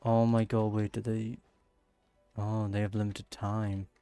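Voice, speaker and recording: Gravelly, mellow-voiced man playing a game. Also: fairly low pitch, level tone, unhurried, no echo, faint music behind. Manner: thinking aloud, muttering and exclaiming to himself. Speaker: gaming streamer playing and commenting. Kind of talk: casual live gameplay commentary.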